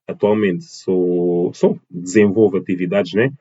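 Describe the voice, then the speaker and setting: A man's voice: low voice, fairly even intonation, a little slow, dark tone, breathy edge, highs cut off. A man in his twenties from Portugal, answering as a podcast guest, with many fillers and restarts. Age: 20s. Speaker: podcast guest, dance teacher. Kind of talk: casual interview answers.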